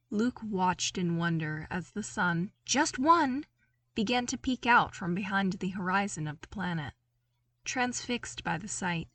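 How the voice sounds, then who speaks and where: A woman reading a story aloud as an audiobook narrator, in an expressive scripted style. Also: lowish voice.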